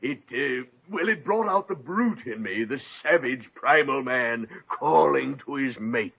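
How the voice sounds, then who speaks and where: Flustered, lovestruck publisher, wildly swooping delivery. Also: male voice, mid-range voice, expressive, measured pace, vocal fry, slightly rough, full character voice. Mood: amorous, gushing. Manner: theatrical, sputtering, effusive. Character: flustered, amorous male book publisher, comically overeager suitor. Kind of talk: 1950s radio comedy acting. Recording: dull, muffled vintage 1950s radio recording.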